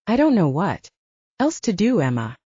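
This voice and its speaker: A woman's voice: medium pitch, huge pitch swings, moderate pace, dark tone, slightly rough, dry recording, highs cut off. A woman voicing a rich, confident man for an audiobook, persuasive and earnest, romantic with a teasing, conflicted side. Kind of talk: audiobook dialogue acting.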